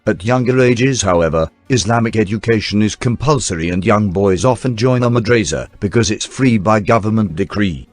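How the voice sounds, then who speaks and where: A man reading scripted newsreel documentary narration in a formal, measured announcer style. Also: lowish voice, dry recording. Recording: dry voice-over with faint music bed. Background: faint background music.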